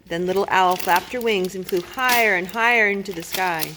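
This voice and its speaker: A woman's voice: medium pitch, normal speed. A woman tells a children's story aloud. Her voice is mid-range, and she phrases expressively with dramatic emphasis. The home recording carries faint noise and clicks.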